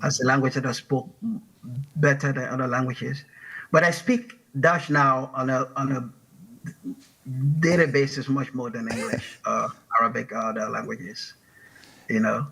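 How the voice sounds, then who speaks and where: A man from Liberia speaks in an unscripted panel chat, his voice bright and a little raspy. He hesitates often, repeating words and laughing lightly as he searches for phrasing. It sounds like a writer answering questions on a public stage. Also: medium pitch, level tone, moderate pace, rough recording. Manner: hesitant, many restarts and laughs. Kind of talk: casual conversation on stage. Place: stage of a public talk venue.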